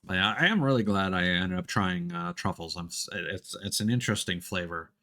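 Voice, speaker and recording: A man's voice: low-pitched, fairly quick, sharp tone. A man giving casual live-stream game commentary, talking in a hesitant, rambling way. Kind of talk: casual gameplay commentary, reading game dialogue aloud. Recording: music behind the voice. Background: game music.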